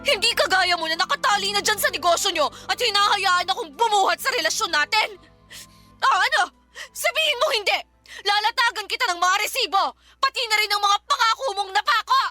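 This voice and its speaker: Animated, high-pitched exasperated young Filipina. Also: female voice, a little fast, over music. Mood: hurt, accusing. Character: frustrated young working woman nagging her live-in boyfriend. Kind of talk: Filipino radio drama acting.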